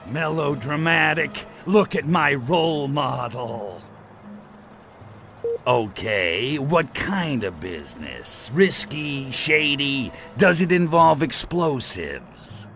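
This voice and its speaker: An actor playing a cocky, sarcastic, short-tempered alien invader in a video game, with a brash, slangy, gravelly delivery. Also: male voice, on the high side, lively intonation, slow pace, a bit bright, a little hiss or hum, exaggerated voice. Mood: scornful, sardonic. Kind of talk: video game cutscene acting.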